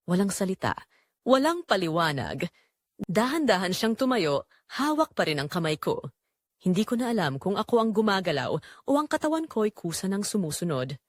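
A woman's voice: medium pitch, dry recording, clean studio sound. A woman narrating a dramatic romance story in the first person.

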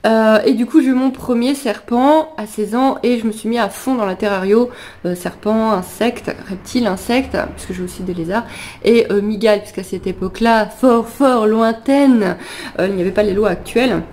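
A woman's voice: a little high, normal speed, darkish tone. A woman in her thirties with a slight cold, chattily telling personal stories to camera and often interrupting herself. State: slightly ill with sniffles. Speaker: animal vlogger. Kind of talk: casual personal storytelling to camera. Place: living room at home, indoors.

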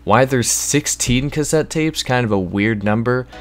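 A man's voice: medium pitch, unhurried. A man giving informal, conversational gaming news commentary as a YouTuber, explaining things with emphatic bursts. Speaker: video game news YouTuber. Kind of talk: casual gaming news commentary over gameplay. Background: background music under the voice-over.

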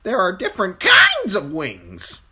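A high, thin, piercing crow, scolding and impatient. It swoops and shrieks insistently, as in a fantasy audiobook. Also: male voice, wildly swooping pitch, measured pace, background noise, exaggerated voice.

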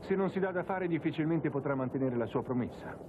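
A voice actor playing an earnest, excitable young man in a cartoon dub, speaking expressively. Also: fairly high pitch, fast talker, background noise, close to an everyday voice. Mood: earnest concern. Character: earnest, excitable young male judo follower. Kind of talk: cartoon dubbing. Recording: narrow phone-like dub with music behind.

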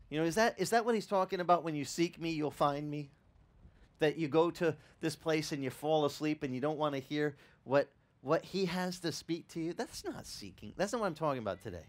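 Animated pastor preaching on a beach. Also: male voice, on the high side. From Italian-American upbringing. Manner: animated, conversational preaching with restarts and asides to the audience. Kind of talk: outdoor sermon. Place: open-air beach tent service. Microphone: headset mic.

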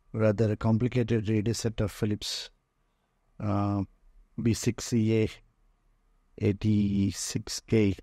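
A man with an Indian accent giving an unscripted museum tour in halting, short phrases, pausing often. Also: on the low side, warm tone, no echo, clean studio sound. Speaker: museum owner and radio restorer giving a tour. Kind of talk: unscripted walk-through tour commentary. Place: indoor room lined with shelves of old radios.

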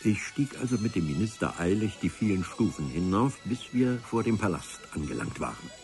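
A man's voice: low-pitched, sing-song, normal speed, darkish tone, faint music behind. A man narrating a radio adventure serial in the first person, with a dry, ironic storytelling manner. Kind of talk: scripted radio-play narration. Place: radio drama studio.

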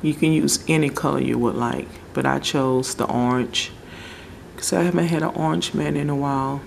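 A woman casually talking through a home nail tutorial, relaxed and chatty, often searching for words. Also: very deep voice, moderate pace, gravelly, a little hiss or hum. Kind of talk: casual how-to narration. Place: home table, indoors.

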